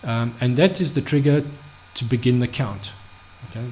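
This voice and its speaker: A man teaching a Bible study off the cuff, halting, full of restarts, fillers and "okay?" check-ins. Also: medium pitch, darkish tone, a little hiss or hum. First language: not Hebrew. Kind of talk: unscripted screen-share Bible study.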